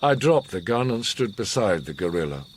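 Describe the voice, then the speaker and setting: An actor playing a grave, commanding, enigmatic older war survivor in a radio drama, speaking in a measured, solemn, philosophical way. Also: male voice, fairly low pitch, big pitch swings, a little hiss or hum. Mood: impassioned. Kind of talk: radio drama monologue and dialogue.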